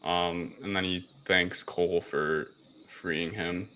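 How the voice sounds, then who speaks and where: A man with a low, bright-edged voice chats as a podcast co-host. He phrases things loosely and informally. There is faint noise behind him. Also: steady tone, slow. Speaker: podcast co-host reviewing films. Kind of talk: casual chat recap.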